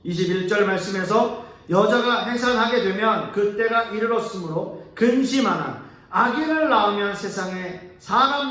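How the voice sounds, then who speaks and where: A man preaching a sermon as pastor. He talks earnestly, rises on key words, and lets his sentences trail off soft and low. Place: church sanctuary. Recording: echoey church hall, mic at a distance.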